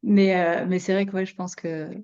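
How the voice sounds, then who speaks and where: A woman's voice: medium pitch, flat delivery, moderate pace, mellow, clear, resonant tone, highs cut off. A woman hosting a podcast and chatting with a guest. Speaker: podcast host and interviewer. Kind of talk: scripted intro, then casual interview chat.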